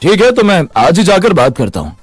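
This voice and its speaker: A man playing an eager, chatty matchmaker friend, brisk and persuasive, in a cartoon moral story. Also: sits high, huge pitch swings, husky, no echo, soft background music, voice pushed up, full character voice.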